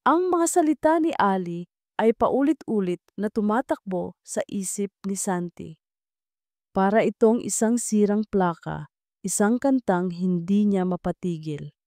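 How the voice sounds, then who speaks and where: Female storyteller for romance audio drama, mellow dark tone, mid pitch, dry close recording. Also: a little slow, dry recording. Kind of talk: scripted dramatic story narration.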